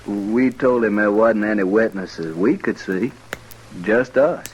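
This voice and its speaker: A man's voice: fairly low pitch, slow pace, lo-fi sound, slight background noise. An actor playing a simple, earnest, deferential frontier townsman in an old-time radio western, with a folksy, respectful drawl.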